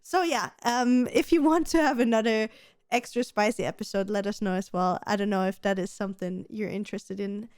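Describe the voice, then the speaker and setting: A woman's voice: medium pitch, fairly quick, a little mellow. A woman co-hosting a casual podcast, chatty and giving advice, with a slight stutter and many restarts. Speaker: podcast co-host.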